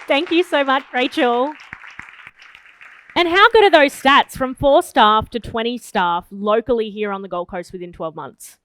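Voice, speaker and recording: A woman emcees a demo event, introducing each speaker with enthusiasm. Her voice is fairly high and smooth. The recording is dry and close. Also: unhurried, fairly bright tone, no echo, a faint crowd. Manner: enthusiastic, upbeat. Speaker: event host.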